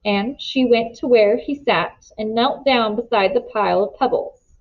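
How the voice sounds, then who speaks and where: A woman narrating a children's novel aloud in an expressive storybook style, on a slightly muffled recording. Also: a little high, measured pace. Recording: slightly dull, muffled sound.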